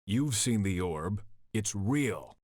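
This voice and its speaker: A stern samurai prince in a game cutscene. The voice is deep and gritty, recorded close and dry. He gives orders curtly, with brooding authority. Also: expressive, takes it slowly, a little mellow, dry recording. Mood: resolute. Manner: curt, commanding. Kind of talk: video game cutscene acting.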